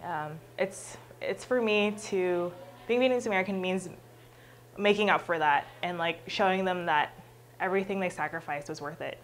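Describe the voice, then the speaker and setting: A young woman from Texas talks about herself unrehearsed, her voice sitting a little low. She is earnest and reflective, reaching for words with frequent "like" and "um". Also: normal speed, slight background noise. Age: young adult. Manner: earnest, leans on "like" and "um". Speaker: student interviewee. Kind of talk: unscripted reflective answers.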